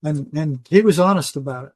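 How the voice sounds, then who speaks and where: Older guy, kinda high voice, rambly casual storytelling, pretty dry sound with little echo. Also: a little fast, a little mellow. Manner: rambling, colloquial, acts out quoted dialogue. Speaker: interview guest, retired psychotherapist. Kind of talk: conversational storytelling, casual interview.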